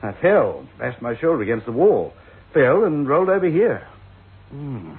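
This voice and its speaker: An actor playing an excitable, plucky young husband in an old-fashioned radio ghost drama, blustering and exclaiming. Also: male voice, medium pitch, normal speed, slightly rough, heavily acted. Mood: shaken. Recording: muffled, tinny radio recording.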